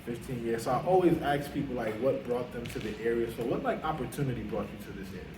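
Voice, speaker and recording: A man's voice: mid-range voice, expressive, fast talker, recorded from a distance. Man hosting an interview in an echoey room. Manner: friendly, affirming, with frequent fillers. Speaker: interview host, branch president. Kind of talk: casual interview conversation. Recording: echoey room, off-mic, noisy.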